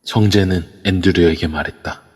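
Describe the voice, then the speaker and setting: A man narrating an amateur audio drama in steady storytelling form. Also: sits low, moderate pace, husky. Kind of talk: scripted narration. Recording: reverb-heavy online audio.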